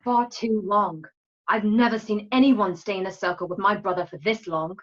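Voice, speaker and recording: A woman acts out a dignified leader presiding over warrior rites. She declaims formally in a medium-pitched voice for a radio drama. The recording is a little dull and lo-fi. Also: moderate pace, lightly acted. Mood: composed. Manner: ceremonial, declamatory. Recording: slightly dull, lo-fi sound.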